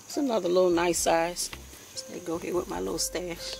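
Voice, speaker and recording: A woman's voice: on the low side, level tone, a little fast, gravelly, a little mellow, faint music behind. A woman chatting casually while she films her backyard garden and her indoor plants on a handheld phone, in a rambling, homey way. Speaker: vlogger showing her garden. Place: backyard outdoors, then indoors by a window.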